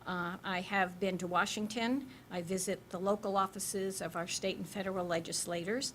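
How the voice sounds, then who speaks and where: Measured woman in her fifties. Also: medium pitch, fairly even intonation, a little hiss or hum. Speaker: school board member and candidate. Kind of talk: candidate statement at a public forum.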